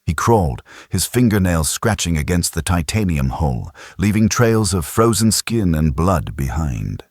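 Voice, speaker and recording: A man's voice: low-pitched, very animated, measured pace, dry recording, clean studio sound. A man narrating a sci-fi audiobook in a grave, dramatic, suspenseful storytelling style.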